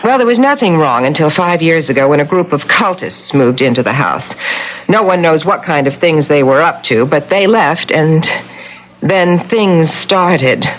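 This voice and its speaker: An actress playing a wary village real estate agent in a radio drama, speaking in a grave, guarded way. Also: female voice, low-pitched, expressive, unhurried. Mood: grave, ominous. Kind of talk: 1970s radio drama acting. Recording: dull, noisy old radio broadcast.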